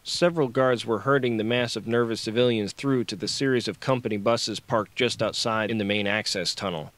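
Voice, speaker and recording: A man's voice: on the low side, fairly even intonation, brisk, a bit bright, no room sound. Male audiobook narrator, dry close sound. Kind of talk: scripted fiction narration.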